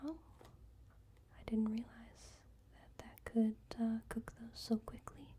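A woman doing an ASMR roleplay as a cashier, talking softly and mostly in whispers, with friendly counter small talk, recorded at a table indoors. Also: on the high side, steady tone, takes it slowly. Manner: soft-spoken, mostly whispering. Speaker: ASMR creator playing a cashier. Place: indoor room at a wooden table.

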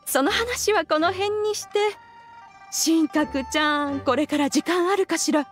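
A woman's voice: high voice, measured pace, faint music behind. An actress playing a dignified female immortal who puts on doting auntie manners in a Japanese video game. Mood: sweetly doting. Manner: switches between archaic stately speech and sweet chatty auntie talk.

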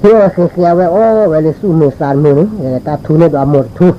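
A man's voice: on the high side, extremely slow. A man from northern Thailand, a native Karen speaker, telling a folktale aloud in an informal oral storytelling style. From Li district, Lamphun, northern Thailand. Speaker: folk storyteller. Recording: dull lo-fi recording with insect-like background noise.

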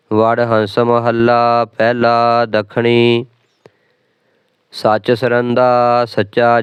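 A man reciting scripture in steady, measured phrases. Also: medium pitch, smooth, no echo. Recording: dry, tinny phone-like sound.

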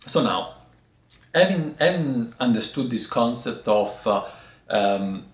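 Man, thirties, strong foreign accent, halting speech, roomy echo. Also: medium pitch, animated. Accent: foreign accent in English. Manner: halting, many restarts, gestures with hands. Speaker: tea teacher. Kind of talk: casual video lesson. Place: small room indoors by a lamp.

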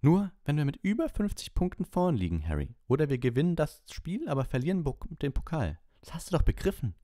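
A man's voice: a little high, very animated, brisk, dark tone, no room sound, studio quality, voice pushed up. A man voicing a fervent, nagging teenage boy sports captain in an audiobook, urgent and lecturing.

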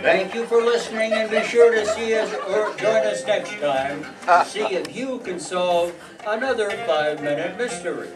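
High-voiced older man announcing old-time radio. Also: expressive, normal speed, a loud crowd. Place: meeting room stage before an audience. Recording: echoey room, distant mic, music behind.

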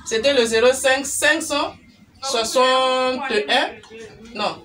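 A woman's voice: high-pitched, takes it slowly, crowd noise. A middle-aged woman with a Congolese accent telling a personal religious testimony in a spontaneous storytelling way. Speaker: church member giving testimony. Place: small living room indoors. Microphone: camera microphone from a short distance. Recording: distant mic, other voices behind.

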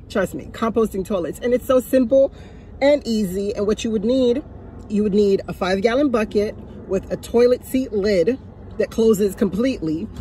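A woman's voice: medium pitch, moderate pace, dark tone, a little hiss or hum. A woman in her fifties giving casual advice, talking into a phone held at arm's length in a parked car. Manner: chatty, chuckling, repeats for emphasis. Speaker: vlogger. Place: parked car, sunny day.

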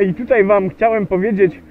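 A man with a high voice talks casually into a helmet mic while he rides a motorcycle. He pauses often and fills the gaps with little hesitations. The sound is tinny and narrow, with faint engine and wind noise underneath. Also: fairly even intonation. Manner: chatty, hesitant with many fillers. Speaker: motovlogger. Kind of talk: casual riding vlog narration. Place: on a motorcycle riding on a road outdoors.

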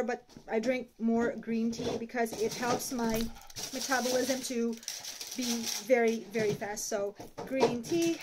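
A woman in her thirties from the Philippines, chatting casually to a phone camera as she unpacks groceries in a small apartment kitchen. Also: a little high. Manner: chatty, holds items up to the camera. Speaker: vlogger. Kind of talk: casual chat. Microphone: phone camera at arm's length. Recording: noisy phone recording.

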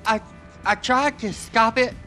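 An actor playing a hapless, simple young sidekick in a video game, halting and slow-witted. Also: male voice, very high-pitched, sharp tone, soft background music, exaggerated voice. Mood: dazed. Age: young adult. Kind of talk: video game cutscene acting.

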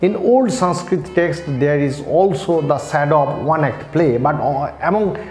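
A male lecturer with an Indian Bengali accent speaks in a fairly high voice at a very slow pace. His delivery is formal and deliberate, with halting phrasing, as in a scripted lesson. The room adds a clear echo around his words. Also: darkish tone, faint music behind. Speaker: English literature lecturer. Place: small bare-walled indoor room. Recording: echoey room.

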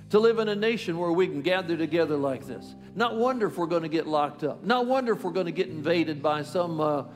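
An older Southern pastor with a high, ringing voice prays and preaches fervently, piling up phrases of praise. His words carry a long hall reverb, with music playing under him. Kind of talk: prayer and sermon. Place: large church stage. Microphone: podium mic.

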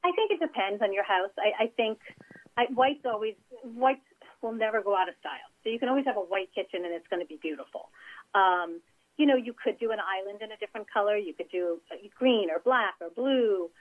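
A woman's voice: mid-range voice, animated, brisk. A woman interior designer giving chatty, practical advice as a guest in an interview. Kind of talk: casual interview over phone. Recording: tinny phone line.

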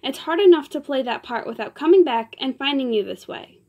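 A woman doing a fiery young actress, higher. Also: expressive, breathy edge, highs cut off, exaggerated voice. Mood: tearful, angry. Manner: tearful, pleading, quick to outbursts. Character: temperamental young female stage actress.

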